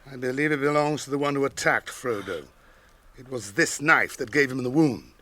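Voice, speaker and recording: An actor playing a grave, watchful ranger in a radio drama, with a British accent and solemn, measured phrasing. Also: male voice, medium pitch, bright, forceful tone, natural voice. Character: grave, watchful ranger guiding hunted companions.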